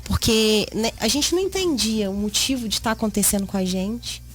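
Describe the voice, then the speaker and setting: Woman, thirties, slightly high pitch, interview guest, personal storytelling, repeats for emphasis, light music behind. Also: lively intonation, moderate pace. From rural Minas Gerais, Brazil. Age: 30s. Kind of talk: personal life story told in conversation.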